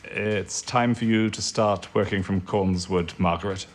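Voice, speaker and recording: An actor playing a courteous, grave wartime intelligence chief, measured and understated, in an audio drama. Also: male voice, low-pitched, fairly even intonation.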